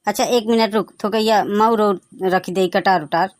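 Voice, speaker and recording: Man acting a grumbling Bagheli cartoon villager, pitched-up. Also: steady tone, moderate pace, no room sound. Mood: reluctant. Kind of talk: comic cartoon dialogue.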